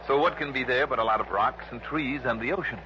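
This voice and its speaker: An actor playing a wry, brisk American insurance investigator in a crisp, dramatic 1960s radio drama style. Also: male voice, mid-range voice, pushed and bright, slight background noise, close to an everyday voice. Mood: questioning. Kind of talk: 1960s radio drama, first-person hard-boiled narration. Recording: dull lo-fi old radio recording, music behind.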